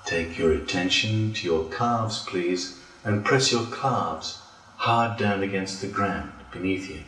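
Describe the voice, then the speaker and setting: A man leading a guided relaxation as a yoga instructor, speaking deliberately with pauses between phrases. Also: low-pitched, a bit bright. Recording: roomy echo, distant from mic.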